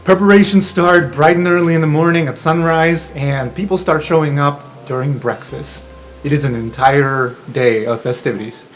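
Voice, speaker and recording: A man's voice: fairly high pitch, steady tone, moderate pace, soft background music. A man hosting as game master, narrating an improvised tabletop game in an informal, joking way.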